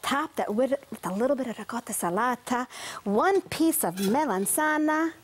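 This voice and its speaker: Brief: high-voiced woman in her fifties, bubbly chef on a studio kitchen set, faint sizzle and hiss behind. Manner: lively, enthusiastic, sprinkles Italian words. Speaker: TV cooking guest chef. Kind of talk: cooking demonstration.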